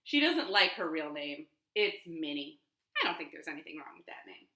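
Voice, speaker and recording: A woman hosting storytime for young children, speaking in an animated, clearly paced way as she shares rhymes and picture books from her living room. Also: sits low, normal speed. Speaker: children's storytime host at a library. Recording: roomy, echoing home living room.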